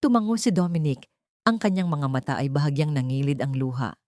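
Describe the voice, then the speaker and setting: A woman narrating a sentimental story from a script in a measured, solemn, poetic manner. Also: deep voice, a little mellow, dry recording.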